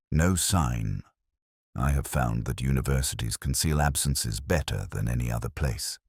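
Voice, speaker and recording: Very deep-voiced male detective narrator. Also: breathy edge, dry recording, studio quality. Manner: measured, reflective, wry. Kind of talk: first-person detective story narration.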